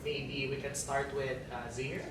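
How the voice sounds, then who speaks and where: A woman's voice: extremely low pitch, flat delivery, a little slow. A woman, co-hosting a campus talk show in an upbeat, chatty way. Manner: upbeat, chatty, laughs often. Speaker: co-host. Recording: roomy, distant mic, faint noise.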